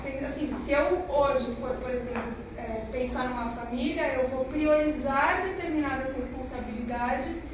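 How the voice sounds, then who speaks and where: High-voiced woman in her twenties, thinking aloud. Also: moderate pace, few pauses. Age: 20s. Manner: hesitant, thinks aloud with fillers. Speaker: discussion participant. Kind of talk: group discussion. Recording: echoey room, distant mic, noisy.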